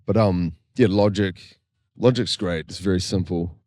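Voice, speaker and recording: Laid-back deep-voiced kiwi man. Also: normal speed, a little mellow, no room sound, clean studio sound. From New Zealand. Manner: laid-back, chatty, laughs often. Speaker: guest musician. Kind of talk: casual podcast chat.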